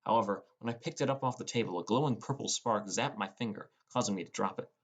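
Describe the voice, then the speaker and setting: A man's voice: lowish voice, fast talker, breathy edge. A man reading scripted first-person fantasy fiction as an audiobook narrator.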